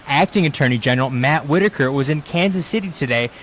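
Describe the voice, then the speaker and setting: Male field reporter, slightly high voice, scripted news delivery, faint background noise. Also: animated, fast talker, fairly bright tone. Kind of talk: scripted news reporting, narration and stand-ups.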